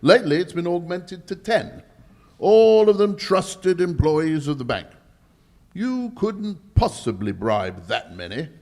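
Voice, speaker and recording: A man's voice: on the high side, very animated, slow pace, darkish tone, exaggerated voice. A man playing an alarmed, pompous lordly bank governor in a live 1940s-style radio mystery, emphatic and melodramatic. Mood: grave, baffled.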